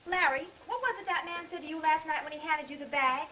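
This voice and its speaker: An actress as a very high-voiced anxious sidekick. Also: female voice, fast, natural voice. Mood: puzzled. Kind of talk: 1930s crime film acting. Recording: distant, muffled, noisy 1930s film soundtrack.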